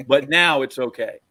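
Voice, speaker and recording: A middle-aged American man hosting casual sports talk, anecdotal and opinionated in his questions. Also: mid-range voice. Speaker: sports talk host, interviewer.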